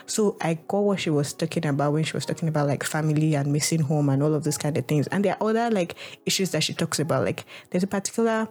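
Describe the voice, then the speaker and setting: A woman's voice: low-pitched, fast, hardly any pauses, a little mellow. Rambling young Nigerian woman. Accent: Nigerian English. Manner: rambling, heavy use of "like" and restarts. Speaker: podcast host. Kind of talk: casual reflective monologue.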